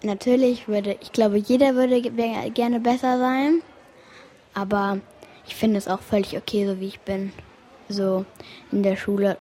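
A woman's voice: medium pitch, fairly even intonation, a little slow, warm tone. A female speaker talking thoughtfully and hesitantly in a radio feature interview.

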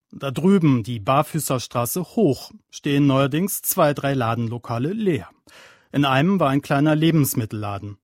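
A man narrating a scripted radio report in a calm, descriptive way. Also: medium pitch, dry recording, studio quality.

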